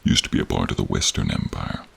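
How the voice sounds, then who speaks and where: A man voicing a protective, caring male dragon with a deep, gravelly, breathy voice, speaking slowly, solemnly and formally in a fantasy audio roleplay. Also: little pitch movement, exaggerated voice. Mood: solemn, wistful. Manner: slow, solemn, formal, dramatic pauses. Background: rain and cave ambience.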